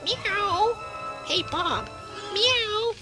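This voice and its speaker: Sly, very high-pitched talking cat. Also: female voice, animated, very slow, music in the background, full character voice. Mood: salesy, coaxing. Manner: meows between phrases, coaxing salesy patter. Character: sly talking cat recruiter, wheedling tempter. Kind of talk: comedy sketch acting.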